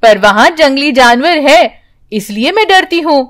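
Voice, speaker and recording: Storybook mother, female narrator acting: high-pitched, slow, worried, protective. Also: animated, a bit bright. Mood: reluctant. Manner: anxious, emotional. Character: worried, protective village mother of two daughters. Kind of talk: children's storybook character voice.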